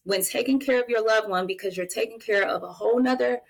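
A woman's voice: on the high side, quick pace, rough recording. Candid woman in her late thirties. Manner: candid, addresses listeners directly, emphatic. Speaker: podcast guest, mental health advocate and guardian. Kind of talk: conversational interview, personal testimony and advice.

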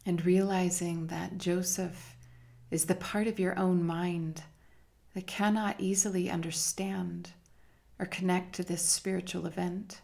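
A woman leading a guided meditation in a measured, hushed manner with long pauses between phrases. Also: lowish voice, little pitch movement, gravelly. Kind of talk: slow guided meditation narration.

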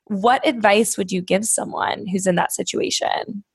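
A woman's voice: medium pitch, moderate pace, husky, no room sound. A woman hosting a podcast, affirming her guest's answers and asking follow-up questions. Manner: affirming, encouraging. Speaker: podcast host.

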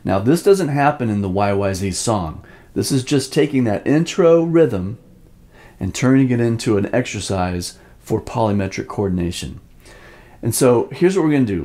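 A man's voice: medium pitch, dark tone. A man giving an informal drum lesson, explaining step by step and sometimes sounding out rhythms in syllables. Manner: demonstrates rhythms by vocalizing syllables. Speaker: drum teacher. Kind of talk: instructional lesson talk.

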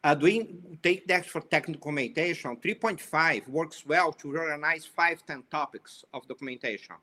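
A man's voice: on the high side, moderate pace, bright, forceful tone. A man with an Italian and Portuguese accent, a guest in a casual discussion, speaking enthusiastically and stopping to restart his phrases. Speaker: panel guest.

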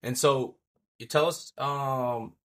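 A man's voice: medium pitch, fairly even intonation, slow pace. A man hosting a podcast, chatting casually, affirming and summarizing his guest's points. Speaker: podcast host. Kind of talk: casual interview talk.

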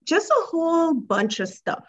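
Slow-spoken woman from Trinidad and Tobago. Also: very high voice, very animated, highs cut off. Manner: reflective, unhurried, with soft laughs and hesitations. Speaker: guest. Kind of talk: personal life story in an interview.

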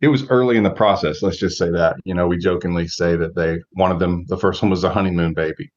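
A man in his forties giving measured, reflective answers as a guest coach in an interview, thinking aloud as he goes. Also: low-pitched, fairly even intonation, muffled recording. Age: 40s.

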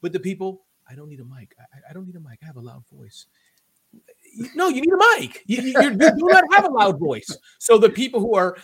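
A man in casual conversation, energetic and loud, projecting his voice and tossing in playful jokey asides. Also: high-pitched, a little fast, husky, poor audio.

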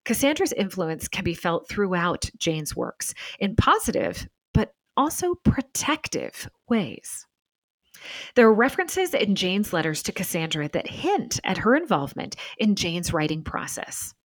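A woman presents as a podcast host, moving through a mid-range pitch with lots of melodic variation. The sound is dry and close, with very little room. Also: sing-song, measured pace, dry recording. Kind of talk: scripted narration and interview talk.

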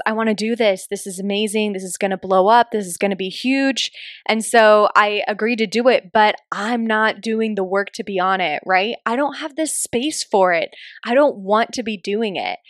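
A woman's voice: medium pitch, no room sound. Chatty woman podcast host, dry sound. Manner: chatty, laughs often, asks "right?". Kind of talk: casual solo podcast talk.

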